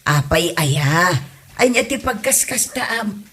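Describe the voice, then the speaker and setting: A woman's voice: fairly low pitch, lively intonation, slow, fairly bright tone. A woman with an Ilocano accent playing a long-suffering old wife and grandmother in a comedy audio drama, scolding with exasperation. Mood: nagging.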